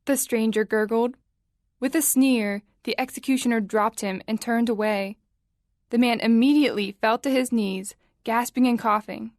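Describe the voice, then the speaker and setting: A woman narrates a dramatic tale in a slightly high voice. Her delivery is measured and suspenseful, recorded close and dry. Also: fairly even intonation, darkish tone, dry recording. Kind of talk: scripted story narration.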